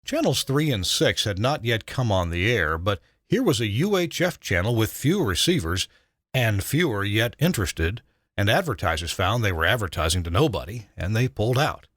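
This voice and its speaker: An older man, a retired broadcast engineer, narrating a scripted memoir in a measured, dramatic way with emphatic stresses. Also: on the low side, very animated, a bit bright, no room sound, clean studio sound.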